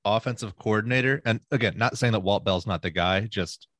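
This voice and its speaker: Very deep-voiced man, measured. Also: fairly bright tone, dry recording, studio quality. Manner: measured, lays out points in order. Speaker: podcast co-host and producer. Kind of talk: casual sports chat.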